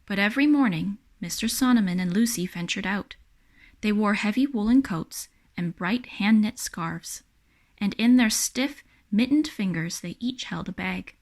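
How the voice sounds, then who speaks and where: A woman narrating a children's picture book in an expressive read-aloud style. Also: mid-range voice, normal speed. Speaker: storybook reader.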